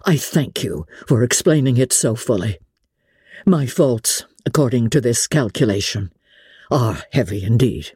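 A woman plays a proud, wealthy gentleman in a classic novel reading. The voice sits low and mellow, with formal, measured phrasing and a disdainful edge. The sound is very dry. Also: expressive, slightly rough, no echo, full character voice. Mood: contemptuous. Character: proud, haughty wealthy gentleman, contemptuous and stiff.

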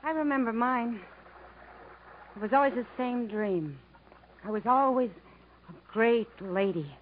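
A woman's voice: fairly high pitch, unhurried, lightly acted. An actress playing a wistful, wounded woman who yearns for self-respect, with plaintive, searching phrasing in 1950s radio drama style. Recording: muffled, noisy 1950s radio recording.

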